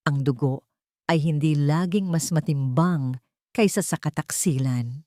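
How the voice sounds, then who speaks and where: A woman's voice: low-pitched, measured pace, mellow, dry recording, studio quality. A woman with a Filipino accent narrating a scripted dramatic story as an audiobook narrator.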